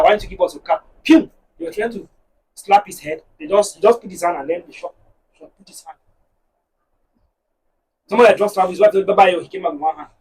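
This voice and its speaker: A man with a Nigerian accent, a married guest on a discussion show, talking in an animated, preachy way and telling stories to make his points. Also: on the high side, recorded from a distance, slight background noise, low-quality recording. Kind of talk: casual panel discussion.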